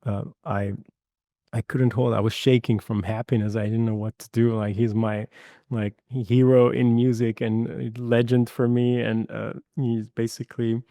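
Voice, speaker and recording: Interview guest, forties, from Iran; dark, relaxed voice, halting delivery with frequent fillers. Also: male voice, mid-range voice, no room sound, clean studio sound. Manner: hesitant, many fillers and restarts, warm laughs. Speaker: guest, Foley mixer. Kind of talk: casual interview conversation.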